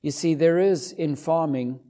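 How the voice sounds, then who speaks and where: Zimbabwean man, mellow tone, unhurried delivery, sermon style, didactic, emphatic repetition. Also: a little high. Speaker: preacher. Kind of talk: expository sermon.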